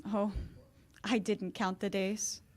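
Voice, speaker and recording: An actress playing an earnest, pained young former lover, hesitant and pleading, in 1940s radio drama style. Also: female voice, medium pitch, level tone, slow, natural voice.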